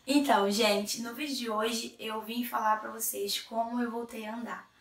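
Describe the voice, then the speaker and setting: A young Brazilian woman with a hoarse cold voice, chatting to camera as a vlogger in a chatty, self-interrupting way. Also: on the high side, fairly even intonation, moderate pace, far from the mic. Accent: Brazilian Portuguese. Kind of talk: casual chat to camera. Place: small room indoors. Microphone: camera in front at medium distance. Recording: echoey room, a little off-mic.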